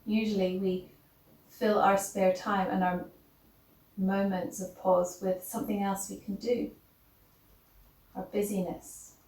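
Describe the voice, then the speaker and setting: A woman leading a guided breathing meditation as a teacher, gentle and instructional, leaving long pauses between short phrases. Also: mid-range voice, little pitch movement. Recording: roomy, distant mic with loud background noise.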